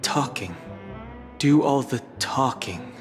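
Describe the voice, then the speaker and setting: A man playing a cool, reserved investigator in video game dialogue, speaking in a measured, formal and serious way. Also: mid-range voice, animated, soft background music. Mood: serious, analytical.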